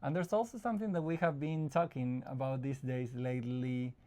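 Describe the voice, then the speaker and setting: A man from Argentina, a psychologist guest, talking in a relaxed interview, thoughtful and often pausing to find his words. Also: medium pitch. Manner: thoughtful, searching for words, frequent restarts, laughs easily. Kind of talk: casual conversational interview.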